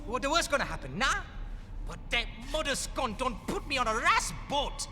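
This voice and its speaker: A man's voice: very high voice, big pitch swings, normal speed, sharp tone, exaggerated voice. An actor playing a scrappy, streetwise teenage boy with a Guyanese Caribbean accent in a video game cutscene. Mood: earnest, bitter. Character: scrappy streetwise teenage boy, boastful but good-hearted. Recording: long reverb, music behind.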